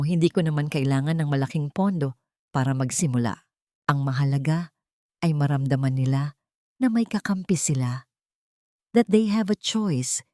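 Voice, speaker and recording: Woman storyteller as a gentle wife, low-voiced. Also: no echo, clean studio sound. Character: gentle, grateful young wife with quiet conviction.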